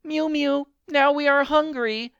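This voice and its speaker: A man's voice: extremely high pitch, fairly even intonation, slow, gravelly, fairly bright tone, dry recording, muffled recording, pitched above the performer's own voice, full character voice. A man voicing vain, squabbling cats in a children's storybook. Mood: plaintive.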